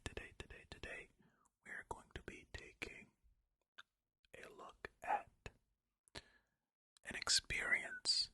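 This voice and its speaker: ASMR host, full whisper, breathy, extremely crisp and bright, close ear-to-ear mic, musing conversational reading. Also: very high-pitched, dry recording. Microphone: close ear-to-ear stereo microphone.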